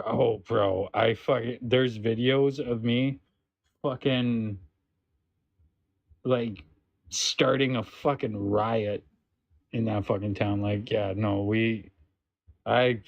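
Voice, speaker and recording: A man's voice: fairly even intonation, takes it slowly, gravelly, no room sound. Brief: young man, stream host, teasing and profane, dry dull lo-fi sound. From Alberta, Canada. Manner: teasing, profane, jumps into high exclamations. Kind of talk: casual livestream banter.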